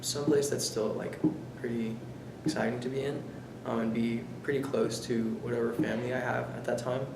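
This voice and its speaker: A man's voice: mid-range voice, little pitch movement, moderate pace, vocal fry. A young man giving casual, hesitant interview answers, piecing his thoughts together as he goes. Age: young adult. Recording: echoey room with background noise.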